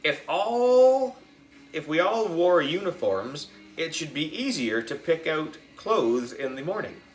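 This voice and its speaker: A man voicing a bossy, self-important third-grade girl in a children's storybook, loud and declaiming. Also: a little high, sing-song, slow, voice pushed up, rough recording.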